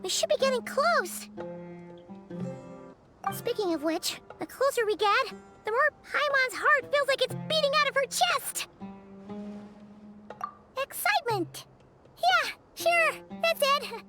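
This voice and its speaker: A woman voicing a small, childlike fairy companion in a video game, squeaky, chatty, excitable and easily nervous. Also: slow pace, long pauses, over music, heavily acted. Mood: excited. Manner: refers to self in third person, exclaiming. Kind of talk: video game character dialogue.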